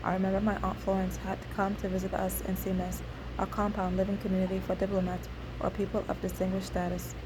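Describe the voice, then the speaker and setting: A woman whose native language is Igbo narrates a scripted first-person memoir, reading in a halting way with occasional slips. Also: mid-range voice, completely flat delivery, noisy background. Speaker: memoir author reading own story.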